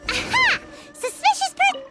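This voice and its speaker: Bossy little sprite sidekick for a game: piping, childlike, hugely animated, unhurried. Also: female voice, very high voice, full character voice. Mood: alert, suspicious. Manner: chirpy, exclaiming, bluntly questioning. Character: small childlike floating sprite companion, nosy and bossy. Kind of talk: video game voice acting. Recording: music playing behind the voice.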